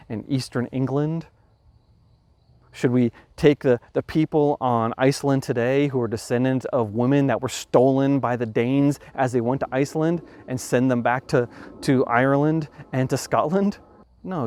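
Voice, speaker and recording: A man hosting a podcast, talking through a moral question in a thoughtful, measured way, restarting phrases as he reasons aloud. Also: medium pitch, darkish tone, no room sound. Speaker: podcast host. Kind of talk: explanatory talk on ethics.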